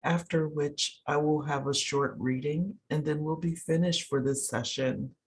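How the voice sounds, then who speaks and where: A person leading a guided meditation in a soothing, unhurried way, pausing between short phrases. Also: mid-range voice, steady tone, breathy edge, low-quality recording. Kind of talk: guided meditation, slow instructions.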